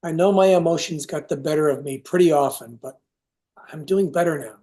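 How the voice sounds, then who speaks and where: An actor as a dark-voiced, remorseful father. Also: on the high side, fairly quick, natural voice. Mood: earnest, apologetic. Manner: earnest, pleading, apologetic. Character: remorseful middle-aged father pleading with his estranged adult son. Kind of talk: staged reading of a contemporary play.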